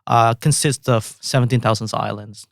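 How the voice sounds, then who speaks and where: A man with an Indonesian accent, speaking in a medium pitch as a guest on an interview podcast. He talks haltingly, with frequent fillers and self-corrections. The recording is dry and close. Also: fairly even intonation, gravelly, no echo. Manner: halting, many fillers and restarts. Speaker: guest composer. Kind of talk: casual interview chat.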